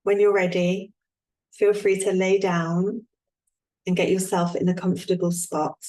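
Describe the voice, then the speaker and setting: A woman leading a guided relaxation as an instructor, speaking in a calm, soothing way with long, unhurried pauses. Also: fairly low pitch, poor audio.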